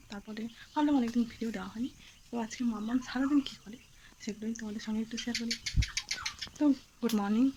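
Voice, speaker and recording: Young woman home vlogger, higher voice, sing-song coaxing delivery, slight background hiss. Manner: coaxing sing-song talk to a small child. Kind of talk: casual home vlog.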